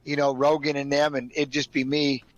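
A man in his forties chatting casually as a guest, telling stories with lively energy and acting out what people said. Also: mid-range voice, normal speed, no echo, highs cut off. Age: 40s. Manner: lively, quotes people in acted voices. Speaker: guest, father who streams with his son. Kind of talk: casual group chat with animated storytelling.

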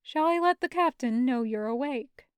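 A woman voicing a polite male AI butler in an audio story, formal and measured. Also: sits high, lively intonation, smooth, no echo, studio quality. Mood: politely composed.

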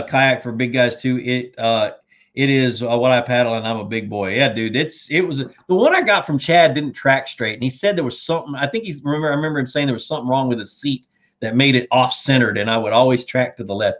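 A man's voice: mid-range voice, muffled recording. Laid-back man losing his voice. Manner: laid-back, says dude and man, reads viewer comments aloud. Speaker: fishing channel host answering viewer questions. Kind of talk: casual live chat.

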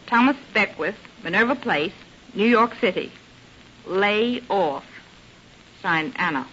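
An actress playing a gossipy, sassy maid with brisk, slangy, confiding delivery in a radio drama. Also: female voice, medium pitch, expressive, fairly bright tone. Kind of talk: 1930s radio drama acting. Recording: hissy, muffled old radio recording.

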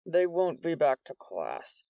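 A woman voicing a menacing male vice principal who speaks slowly in a cold, clipped way. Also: a little high, wildly swooping pitch, gravelly, mellow, dry recording, studio quality, full character voice. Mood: cold menace.

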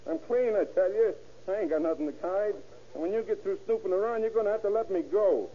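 A man's voice: high voice, fast talker, slight background noise, close to an everyday voice. An actor playing a defiant, streetwise burglary suspect with slangy American tough-guy talk in an old radio crime drama. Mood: defiant, indignant. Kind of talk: 1930s-40s radio crime drama acting. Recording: tinny old radio recording.

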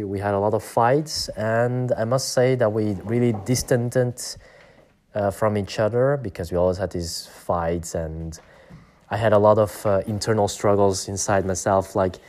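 A man from Belgium in his thirties talking reflectively in a personal vlog, with many pauses, fillers and restarts. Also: fairly low pitch, a little mellow. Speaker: vlogger.

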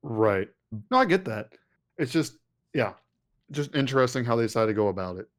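A man co-hosting a casual, conversational review of an animated series. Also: medium pitch, moderate pace. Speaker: podcast co-host.

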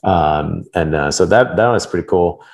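A man's voice: sits low, moderate pace, creaky, a little mellow. A man from Argentina talking casually as an interview guest, in an informal way, often checking in with "right?". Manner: informal, tentative phrasing with frequent "like" and "right?". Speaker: interview guest, project creator.

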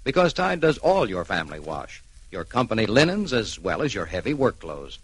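Animated low-voiced vintage radio pitchman. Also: normal speed, slightly rough, dry recording. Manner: emphatic, punchy phrasing. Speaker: radio commercial announcer. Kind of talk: vintage 1940s-50s radio advertising pitch.

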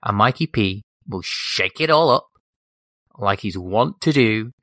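A man's voice: on the low side, lively intonation, a little slow, bright, forceful tone, no echo. Man, podcast co-host, playful announcer tone, dry sound. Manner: playful, mock-announcer delivery. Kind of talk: casual chat.

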